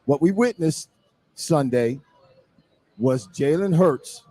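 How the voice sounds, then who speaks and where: Emphatic high-voiced male football analyst, dry sound. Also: animated, slow, mellow, no echo. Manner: emphatic, repeats phrases for weight. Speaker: sports radio analyst and co-host. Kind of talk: football commentary in casual discussion. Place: live remote broadcast at a casino.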